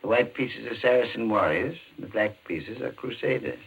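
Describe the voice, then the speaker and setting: Gravelly, courteous mysterious shopkeeper. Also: male voice, fairly low pitch, lively intonation, full character voice. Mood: sly, deliberate. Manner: formal, deliberate, unctuously polite. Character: mysterious courteous pawnshop keeper, devoted chess player. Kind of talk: 1940s radio mystery acting. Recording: tinny, band-limited 1940s radio recording.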